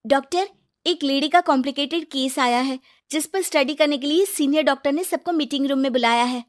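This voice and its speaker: A woman's voice: high voice, brisk, voice pushed up, close to an everyday voice. A woman voicing a dutiful, deferential female hospital nurse in a cartoon story. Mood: worried.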